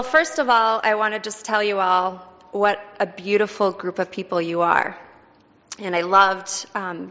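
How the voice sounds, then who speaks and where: A woman guest speaker from a charity with a squeaky voice, speaking gratefully and casually to a congregation. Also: vocal fry. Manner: grateful, warm-hearted, casual with fillers. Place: church. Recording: dull sound with long church reverb.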